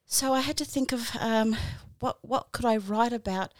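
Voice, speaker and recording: A woman's voice: fairly high pitch, normal speed, no room sound. A woman in her forties with an Australian accent, a podcast guest talking about her volunteer work, with many fillers and restarts. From New Zealand. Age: 40s. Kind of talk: casual interview answers.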